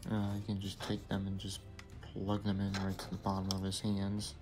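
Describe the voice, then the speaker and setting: A young man with a lowish voice talks through a build step by step, relaxed and casual. He slips in small asides and corrects himself as he goes. Clear music plays behind him in an indoor room. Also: normal speed. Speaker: toy builder reviewing his creation. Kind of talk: casual hands-on toy review narration. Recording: music playing in the background.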